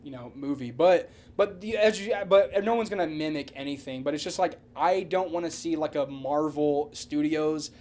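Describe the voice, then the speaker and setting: A man co-hosting a casual pop-culture podcast, talking in an animated, rambling way full of slang and swearing. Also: a little high, moderate pace, hardly any pauses. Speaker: podcast co-host.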